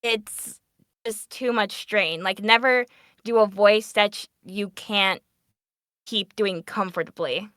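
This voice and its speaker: A woman talks casually on a voice acting panel, animated and chatty. Her voice is bright and smooth at a middling pitch. The sound is close and dry, with little room around it. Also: takes it slowly, no room sound. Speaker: voice actor on a convention panel.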